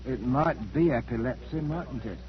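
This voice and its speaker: A man's voice: mid-range voice, normal speed, lightly acted. An actor playing a timid, anxious middle-aged salesman in a 1940s radio drama, hesitant, stammering and apologetic. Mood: troubled, confessing. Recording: muffled 1940s radio broadcast recording.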